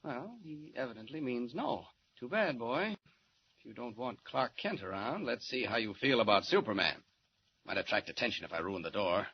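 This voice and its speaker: A man playing a confident young superhero reporter from an old radio serial, brisk and wry with a commanding edge. His voice sits in an ordinary middle range. The sound is dull and lo-fi with faint hiss. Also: medium pitch, animated, close to an everyday voice. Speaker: lead. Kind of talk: 1940s radio acting.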